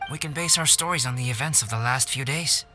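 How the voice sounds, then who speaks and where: Composed young alchemist, music behind. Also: male voice, medium pitch, pushed and bright. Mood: composed, reflective. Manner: measured, articulate, composed, formal wording. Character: calm, intellectual young male alchemist, reserved and reflective. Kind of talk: video game cutscene acting. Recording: background music under the voice. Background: game music.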